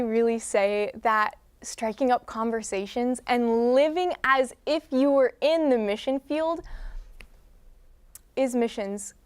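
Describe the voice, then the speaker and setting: A woman's voice: a little high, smooth. A young woman speaking earnestly and reflectively as a guest in a faith interview. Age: young adult. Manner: earnest, measured, devotional. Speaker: guest, student leader.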